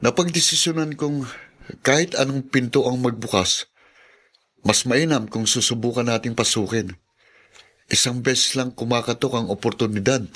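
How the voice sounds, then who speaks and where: A man's voice: mid-range voice, normal speed, fairly bright tone, lightly acted. An actor plays a young man working to pay for his studies. He talks earnestly and questioningly, in the natural dialogue style of a radio drama. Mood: earnest, resolved. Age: young adult. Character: earnest, doubtful young working student, homesick grandson. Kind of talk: Filipino radio drama acting.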